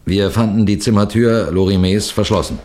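A man playing a seasoned, composed police detective who narrates a 1960s radio crime drama in a measured, precise, formal way. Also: fairly low pitch, steady tone, darkish tone, natural voice. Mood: grave, suspenseful. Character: seasoned male police detective telling his cases, composed, authoritative.

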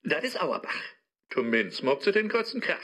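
High-pitched grumbling devil app, Low German. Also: male voice, sing-song, moderate pace, bright, forceful tone, full character voice. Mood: sly, servile. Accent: Low German, northern Germany. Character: grumpy devilish Mephisto as talking phone app, sly. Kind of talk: rhymed comic radio play acting. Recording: music behind the voice.

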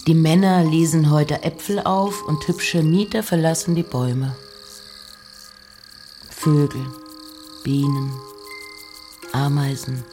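A reader delivering letters and poems in a measured, hushed and breathy voice, with deliberate pauses. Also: medium pitch. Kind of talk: literary reading of letters and poems.

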